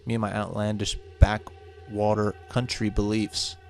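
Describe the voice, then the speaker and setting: Nervous, exasperated young horror-game lead read by a man, low voice with fry, theatrical delivery. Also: flat delivery, unhurried, a little mellow, soft background music. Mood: panicky, fed up. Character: nervous, superstitious young real estate agent exploring haunted mansion. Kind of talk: horror visual novel read aloud.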